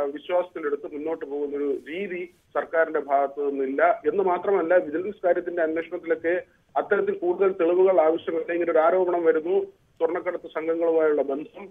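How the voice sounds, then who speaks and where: A man giving a live political report over the phone, halting with frequent filled pauses. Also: on the high side, fairly even intonation. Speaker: news reporter. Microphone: phone call. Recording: tinny narrow phone line.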